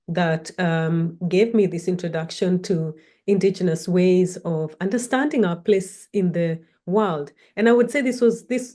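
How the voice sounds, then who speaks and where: Woman, slightly low pitch, warm dark tone, reflective storytelling talk, measured delivery. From Kenya. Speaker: academic researcher and guest speaker. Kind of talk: personal introductory talk, storytelling.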